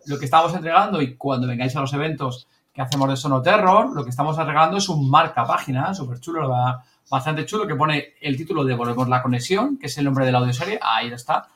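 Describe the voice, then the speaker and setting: A man's voice: fairly high pitch, fast, few pauses, a bit bright. A man from Spain with a Spanish accent, chatting casually and colloquially as a podcast host, rambling as he goes. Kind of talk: casual chat recounting events.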